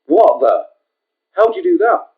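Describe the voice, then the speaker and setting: A man's voice: high voice, huge pitch swings, slow, pitched above the performer's own voice, exaggerated voice. A man voicing an ordinary, impatient adult man in a story he is reading, speaking plainly and offhandedly. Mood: skeptical. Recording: tinny phone-like sound.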